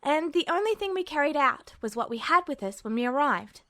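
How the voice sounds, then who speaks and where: A woman's voice: high voice, expressive, brisk, fairly bright tone. Woman reading as a stiff, respectful young man. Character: young male alchemist, respectful but tense. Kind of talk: podfic reading of fan fiction.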